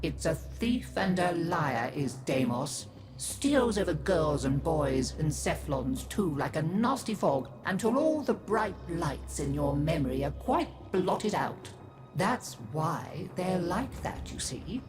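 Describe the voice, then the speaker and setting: Slow, lilting doting old mother. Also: deep voice, very animated, heavily acted. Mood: wistful. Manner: cosy, old-fashioned, lilting, folksy phrasing. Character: gentle, doting elderly mother figure, quaint and wistful. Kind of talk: video game character acting. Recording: music behind the voice.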